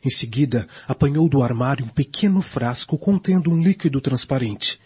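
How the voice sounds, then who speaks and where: Very raspy male radio drama narrator. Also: medium pitch, normal speed, a little mellow. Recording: dull recording, faint music behind.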